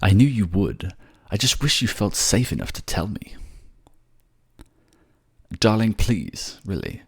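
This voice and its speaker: A man playing a gentle, courtly merman prince who speaks tenderly, politely and a little bashfully in a romantic fantasy audio drama. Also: fairly low pitch, huge pitch swings, unhurried, gravelly, husky, dry recording, clean studio sound, voice pushed up, heavily acted. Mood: tender, affectionate.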